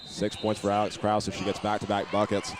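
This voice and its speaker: A man doing live play-by-play of a high school basketball game, sometimes restarting his phrases, with the sounds of the game behind him. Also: completely flat delivery, brisk, over a noisy crowd, heavy background noise. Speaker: play-by-play announcer.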